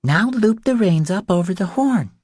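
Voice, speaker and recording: A man's voice: sits high, expressive, moderate pace, darkish tone, slightly rough, dry recording, clean studio sound, pitched above the performer's own voice. A man voicing a small, confident little girl who gives matter-of-fact, bossy instructions in an audiobook.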